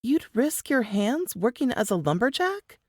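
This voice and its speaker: A woman acts out a young Southern singer in a period audiobook. She sounds earnest, worried and folksy. Her pitch moves widely and her pace is slow, recorded dry and close. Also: very animated, darkish tone, no room sound. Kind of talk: dramatized audiobook dialogue.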